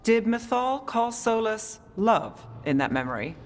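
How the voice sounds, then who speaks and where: An actor voicing a calm, wry companion in a fantasy video game, reasoning in short measured phrases. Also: mid-range voice, slight background noise.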